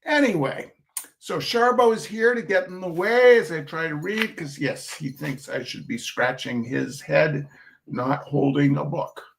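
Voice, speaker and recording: A man's voice: fairly high pitch, unhurried, gravelly. A man giving an informal reading of humorous essays, with a droll, chatty manner and asides to his listeners. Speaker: reader of short humorous pieces.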